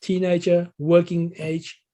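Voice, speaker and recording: A man's voice: high-pitched, monotone, slow, dark tone. A man in his sixties from Thailand, a native Thai speaker, answering interview questions conversationally and explaining things as a coach would. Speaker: interview guest, English learning coach.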